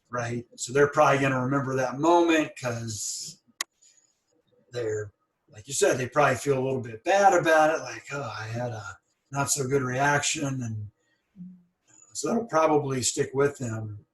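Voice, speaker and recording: A man who stutters, speaking at a middle pitch as he hosts a conversation, thoughtful and encouraging. His voice sits back from the mic and rings around a roomy space. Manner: stutters, with restarts and repeated words. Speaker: podcast host. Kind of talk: conversational interview. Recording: roomy, a bit far from the mic.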